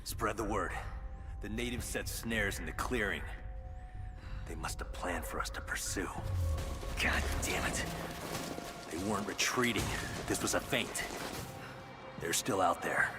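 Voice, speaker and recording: A man's voice: fairly low pitch, measured pace, pauses often, over loud music, close to an everyday voice. A man playing a rattled mercenary soldier on patrol in a video game, muttering tense warnings. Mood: uneasy, urgent. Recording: quiet, distant, under loud game soundtrack.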